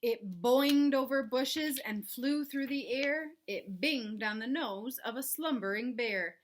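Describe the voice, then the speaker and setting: A woman reading a picture book aloud for young children, expressive and dramatic, with chatty asides to her listeners. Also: a little high, slow pace. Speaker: children's read-aloud presenter. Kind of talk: animated storybook read-aloud for young children.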